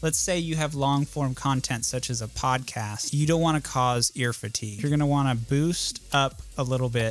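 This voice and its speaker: Nasal man giving a casual tutorial. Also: medium pitch. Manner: plain-spoken, explanatory. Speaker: audio editing tutorial host. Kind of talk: casual step-by-step software tutorial.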